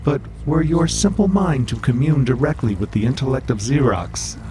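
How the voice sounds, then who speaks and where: A man's voice: mid-range voice, animated, normal speed, mellow, a little hiss or hum, natural voice. An actor playing a stern, menacing male cult handler who speaks in a commanding way.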